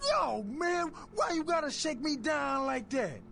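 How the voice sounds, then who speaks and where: Sleazy street fence in a game, high and slick, streetwise sales patter. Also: male voice, lively intonation, measured pace, gravelly, sharp tone, a little hiss or hum, full character voice. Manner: slangy streetwise hustler patter. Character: fast-talking sleazy heavyset male street fence. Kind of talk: video game character dialogue.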